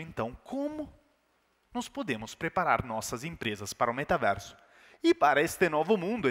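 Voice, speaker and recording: A man's voice: a little high, a bit bright. A man with an Italian accent, whose first language is Italian, giving an emphatic business keynote with rhetorical questions. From Italy. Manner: emphatic, rising and falling delivery with rhetorical questions. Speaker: keynote speaker. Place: large conference hall. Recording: reverberant hall.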